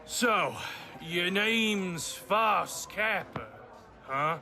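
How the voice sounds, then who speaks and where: A student actor playing a belligerent gunslinging bully in an amateur western radio play, with taunting, folksy talk. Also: male voice, sits high, expressive, very slow, bright, forceful tone, faint music behind, heavily acted. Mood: needling. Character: belligerent, goading gunslinging bully picking a fight.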